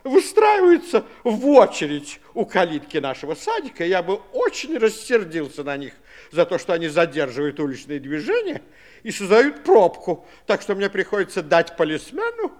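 A fretful middle-aged father in a vintage radio play. His voice is high for a man and extremely animated, leaping about as he grumbles with theatrical self-importance. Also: normal speed. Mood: fussy, grumbling. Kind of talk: 1960s radio play acting.

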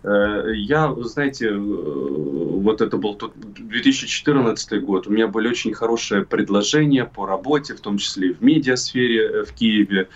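A man from Crimea, middle-aged, giving political commentary as an interview guest in a deliberate, emphatic way with hesitant pauses. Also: fairly low pitch. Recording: muffled remote call, distant sound.

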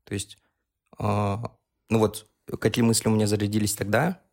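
Guy in his early 20s, deep-ish and gravelly, long earnest explaining on a podcast. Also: little pitch movement, moderate pace, a little mellow. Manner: earnest, explains at length with fillers. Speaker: podcast co-host. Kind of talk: casual podcast chat.

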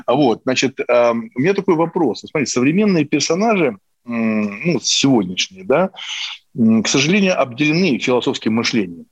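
Husky guy hosting an interview, musing and emphatic, dry slightly muffled sound. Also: mid-range voice, big pitch swings, a little fast, dry recording. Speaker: interview host. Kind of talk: conversational interview questioning.

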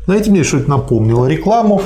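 A man reading a text aloud in a measured way and breaking off for dry comments in a discussion. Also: on the high side, big pitch swings, warm tone.

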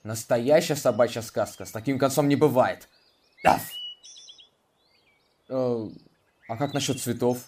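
A man's voice: mid-range voice, unhurried, pauses often, a little mellow, distant mic, a little hiss or hum, close to an everyday voice. A man voicing a restless, friendly male dog in an audio tale who talks bluntly and ends with a bark.

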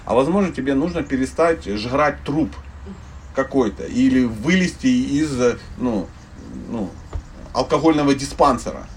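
A man from Ukraine giving an informal religious lecture to a group, conversational and blunt, with slangy wording and humorous illustrations. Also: medium pitch. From Dnipropetrovsk, Ukraine. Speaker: spiritual teacher giving a lecture. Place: live talk to a listening group. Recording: distant microphone, faint background noise.

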